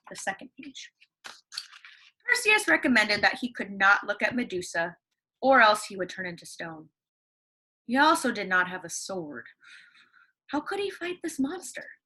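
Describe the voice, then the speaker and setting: A woman's voice: medium pitch, pushed and bright. A woman teacher reading a story aloud to her class, chatty, with lots of fillers and questions to the children.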